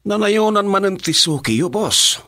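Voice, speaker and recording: A man's voice: sits high, expressive, normal speed, bright, forceful tone, no room sound, studio quality. An actor playing an eager henchman in a radio drama. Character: eager male henchman reporting to his crime boss. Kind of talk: Ilocano radio drama acting.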